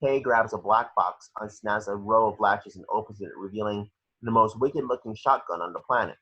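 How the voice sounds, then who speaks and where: Muffled low-voiced man reading a screenplay. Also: fairly quick, slightly rough. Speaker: reader of action descriptions. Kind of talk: screenplay narration at a table read. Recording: muffled lo-fi home recording.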